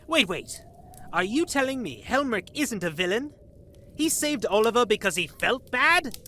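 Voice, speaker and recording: Inquisitive friend, lively swinging intonation, eager, incredulous, fantasy drama, slight background noise. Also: female voice, on the high side, normal speed. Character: excitable, inquisitive friend, easily shocked. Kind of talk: fantasy audio drama acting.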